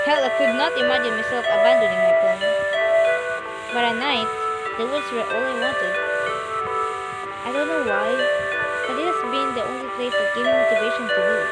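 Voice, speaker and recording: A woman reading a visual novel aloud as she plays, with expressive, excitable delivery. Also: fairly high pitch, rough recording. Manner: expressive, excitable, swearing in asides. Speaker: gamer recording a playthrough. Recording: loud music over the voice. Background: loud intense game music.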